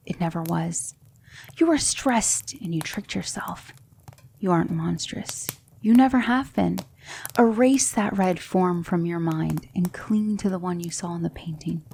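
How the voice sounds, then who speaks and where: A woman playing a gentle, patient demonic magic mentor in an ASMR roleplay, speaking in measured, hushed instruction that slips into whispers. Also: medium pitch, vocal fry, slight background noise. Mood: hushed, coaxing. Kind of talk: ASMR roleplay, soft speech slipping into whispers.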